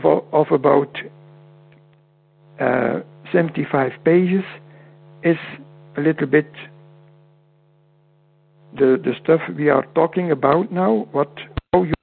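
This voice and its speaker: A man with a Dutch accent whose first language is Dutch, speaking as a guest in an interview over a call, halting and often repeating words. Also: on the high side, creaky, slightly rough. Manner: halting, repeats words, non-native phrasing. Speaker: guest homeopath. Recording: tinny phone-like line with hum.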